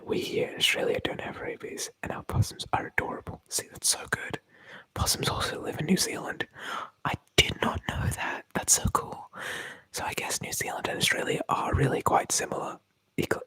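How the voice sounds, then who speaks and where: A man's voice: fairly bright tone, dry recording, low-quality recording. A man whispering through an ASMR livestream, rambling and joking as he reads viewer comments aloud. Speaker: ASMR streamer.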